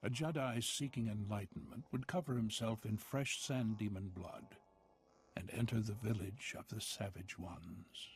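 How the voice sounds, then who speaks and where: A man voicing an ancient, half-mad soothsayer in a video game, slow, cryptic and portentous. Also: on the low side, husky, exaggerated voice. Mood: solemn. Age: older. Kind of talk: scripted video game acting.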